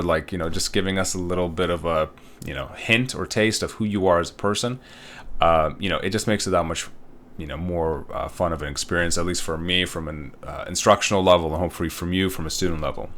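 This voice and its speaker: Male college instructor, thirties, from Uzbekistan; low voice, loose and chatty delivery with plenty of fillers. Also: steady tone, fairly quick, a bit bright. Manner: casual, rambling, with many fillers and tag questions. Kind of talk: recorded online course walkthrough.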